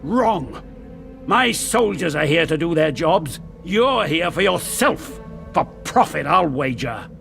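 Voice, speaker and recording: An actor playing a hostile, commanding captain of soldiers who speaks with contempt in a fantasy video game. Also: male voice, fairly high pitch, very animated, slow, gravelly, a bit bright, faint music behind, heavily acted. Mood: contemptuous, commanding. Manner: commanding, scornful.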